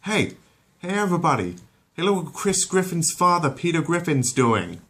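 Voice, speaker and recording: A loud, oafish cartoon dad played by a man, pushed up in pitch. He blusters indignantly and is quick to get hot-headed. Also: very animated, slightly rough, exaggerated voice. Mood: showing off.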